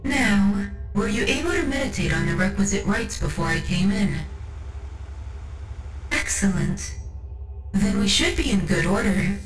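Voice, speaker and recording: Woman acting a slow, echoing sci-fi tech priestess. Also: medium pitch, distant mic, close to an everyday voice. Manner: formal, deliberate, measured, faintly condescending. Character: composed, coolly superior female machine-cult tech priest. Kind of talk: scripted ASMR roleplay, speaking to the listener. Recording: roomy echo over background music.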